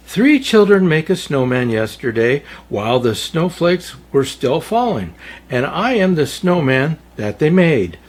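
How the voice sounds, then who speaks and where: A man reading a children's storybook aloud as a storyteller, in a theatrical, deliberate way. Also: fairly high pitch, big pitch swings, breathy edge. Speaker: storyteller, Santa story-time host.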